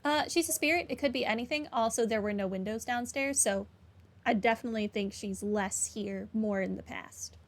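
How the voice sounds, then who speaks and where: Wry, higher-voiced woman gamer. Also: moderate pace. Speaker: gaming streamer. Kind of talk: casual playthrough commentary.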